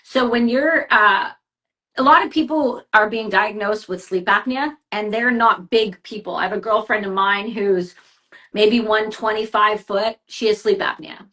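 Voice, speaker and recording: Bright-voiced woman giving casual health advice. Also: medium pitch. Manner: chatty, advice-giving, quick asides. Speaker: health coach. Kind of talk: casual podcast chat.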